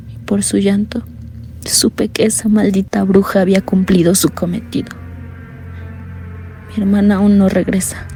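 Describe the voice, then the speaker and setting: A woman narrating a horror radio drama in a hushed, fearful first-person telling. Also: medium pitch, creaky.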